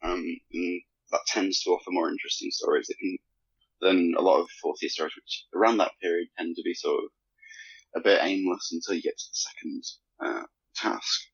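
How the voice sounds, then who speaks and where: A man in a casual podcast discussion, speaking reflectively and haltingly, with a self-deprecating streak. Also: low voice, steady tone, a bit bright, husky, dry recording, highs cut off. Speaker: podcast guest, fan fiction author.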